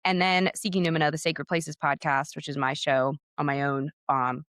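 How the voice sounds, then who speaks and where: A woman's voice: sits low, fairly even intonation, moderate pace, a bit bright, no echo, studio quality. A woman in her thirties with a Pennsylvania American accent, talking casually as a guest author and podcaster, chatty and full of fillers. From United States, Pennsylvania. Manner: chatty and talkative, full of "like" and "you know". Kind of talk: casual interview chat.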